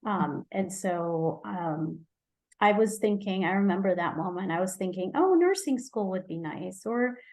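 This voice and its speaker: Woman from Egypt, podcast guest, mid-pitched voice, thinks aloud, corrects herself, light laughs. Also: animated, a little slow, darkish tone, poor audio. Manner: searching, self-correcting speech with light laughter. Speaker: guest physician. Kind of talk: conversational interview.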